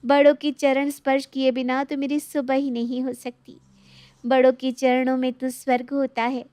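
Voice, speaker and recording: Woman doing polite young bahu in a moral story, highish voice, meek n earnest, dry audio. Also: level tone, moderate pace, dark tone, clear, resonant tone, no room sound, close to an everyday voice. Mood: sweetly dutiful. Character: sweet, dutiful young daughters-in-law, respectful and meek. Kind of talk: animated moral story dialogue.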